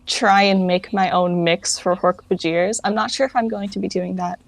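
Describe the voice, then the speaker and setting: A woman's voice: medium pitch, steady tone, normal speed, gravelly, no echo, poor audio. Woman chatting informally, dry close sound. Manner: informal, rambling, self-correcting. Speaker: interview guest, fan project founder. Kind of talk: casual chat.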